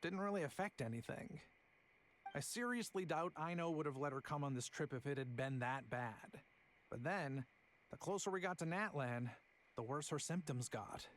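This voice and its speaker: A man's voice: a little high, moderate pace, a little hiss or hum, lightly acted, rough recording. A man voicing an easygoing young traveller in a video game, explaining in a wry, conversational way.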